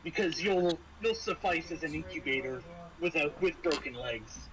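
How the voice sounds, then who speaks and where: A man running a tabletop horror game as game master, improvising scene descriptions with halting, dramatic pauses. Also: fairly high pitch, animated, crowd noise, rough recording.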